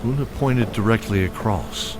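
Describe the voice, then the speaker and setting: A man narrating a fantasy audio drama in a steady, descriptive way. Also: lowish voice, moderate pace, background noise.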